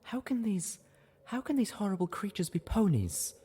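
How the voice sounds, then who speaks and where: A man's voice: high voice, sing-song, normal speed, mellow, breathy edge, pitched above the performer's own voice, exaggerated voice. A man voicing a distraught, sobbing female pony, pleading in despair during a story reading. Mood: anguished despair. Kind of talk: dramatised audiobook reading.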